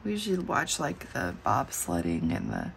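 A middle-aged woman losing her voice, chatting slowly and musing aloud as she flips through her planner at a desk. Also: extremely low pitch, huge pitch swings, gravelly, slight background noise. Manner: slow and musing, thinking aloud. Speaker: planner channel host. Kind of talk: casual plan-with-me chat. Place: indoors at a desk.

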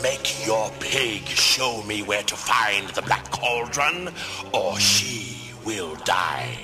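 Menacing villain king, drawn-out words, hard forceful edge, low voice, storybook. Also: expressive, soft background music, exaggerated voice. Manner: threatening, drawn-out. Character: menacing evil masked king, cold tyrant.

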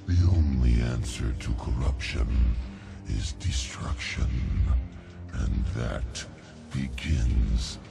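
Casting: ancient giant boss, video game. Very low, extremely slow, grim, commanding, contemptuous. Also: male voice, creaky, over loud music, exaggerated voice. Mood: grim menace. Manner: slow, menacing, drawn-out phrases. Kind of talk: video game character acting.

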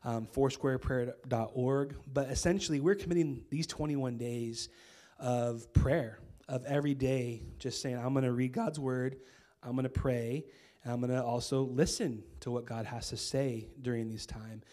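A man in his thirties with an American accent preaching a sermon on a church stage into a handheld mic, his voice hoarse from a cold, casual and conversational with many fillers. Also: mid-range voice, steady tone, moderate pace, dark tone. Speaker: pastor. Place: church stage with string lights.

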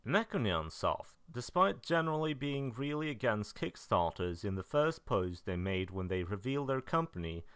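A man narrating a semi-scripted review in a matter-of-fact way, with dry, deadpan asides. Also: medium pitch, moderate pace, few pauses, clear, resonant tone, dry recording. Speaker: video narrator reviewing game releases.

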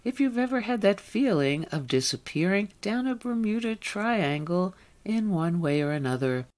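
A woman's voice: mid-range voice, lively intonation, moderate pace, darkish tone. A woman hosting a radio show, reading a scripted introduction to the next segment.

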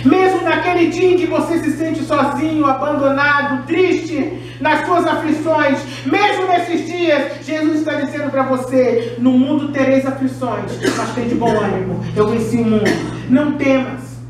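A middle-aged woman pastor delivers an impassioned sermon in a high voice. She is picked up by a distant camera, so her words ring through a very echoey room. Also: measured pace. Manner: impassioned preaching with raised finger gestures.

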